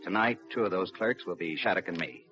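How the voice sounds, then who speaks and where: An actor playing a steady, businesslike railroad police lieutenant, narrating and talking through his case in a 1950s radio crime drama. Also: deep voice, fairly quick. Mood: brisk, commanding. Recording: muffled old radio recording, crowd noise.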